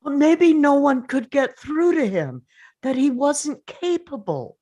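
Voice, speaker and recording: Woman playing grieving, confrontational father, swinging pitch. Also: lively intonation, slow pace, mellow. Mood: pressing, controlled. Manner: confrontational, emphatic, pressing with questions. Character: grieving, angry father of a murdered son. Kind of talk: dramatic script table read.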